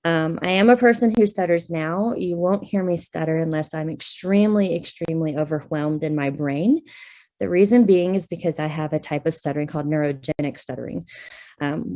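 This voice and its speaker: Woman, speech pathologist, candid conversational talk, lowish voice, dull lo-fi audio. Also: normal speed, darkish tone. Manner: candid, conversational, many fillers. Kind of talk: personal talk at a conference session.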